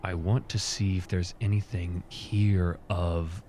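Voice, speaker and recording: This is a man with a lowish voice, playing a tabletop fantasy game. He chats casually and thinks aloud, checking details as he works out his moves, and can slip into speaking as his character. There is some noise behind him. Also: level tone, slow pace, dark tone. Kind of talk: casual game chat, slipping into in-character speech.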